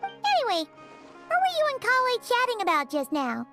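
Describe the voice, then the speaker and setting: Squeaky fairy companion, extremely high pitch, very bright, self-important, perky, video game cartoon style. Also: female voice, wildly swooping pitch, moderate pace, faint music behind, heavily acted. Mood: friendly, chirpy. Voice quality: squeaky, childlike. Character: small childlike fairy companion, cheerful, boastful, refers to herself in third person. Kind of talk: animated video game character acting.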